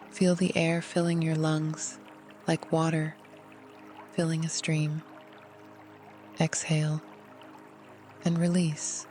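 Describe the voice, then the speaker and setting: A meditation guide giving scripted, gentle, soothing instruction in an unhurried way. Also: mid-range voice.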